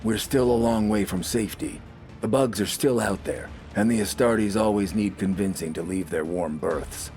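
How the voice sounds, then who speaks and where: Guy doing a stern army corporal for an audio story, deep gruff voice, short barked lines, hardened soldier type. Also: moderate pace, a little mellow, soft background music, natural voice. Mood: barking, urgent. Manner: curt, barking orders.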